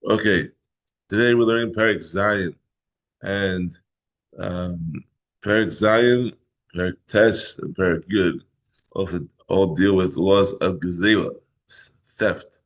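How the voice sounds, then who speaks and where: A hoarse middle-aged man teaching a Talmud class in a halting way, often clearing his throat. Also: sits low. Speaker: Torah teacher.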